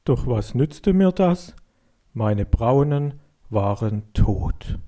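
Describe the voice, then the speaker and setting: Mellow male storyteller reading for children. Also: medium pitch, lively intonation, drawn-out words. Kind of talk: scripted dramatic storytelling reading.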